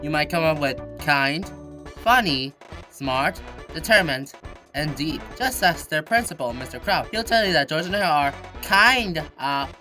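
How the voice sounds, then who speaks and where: A woman's voice: deep voice, bright, forceful tone. A woman reading scripted storybook narration as the narrator. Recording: music behind the voice.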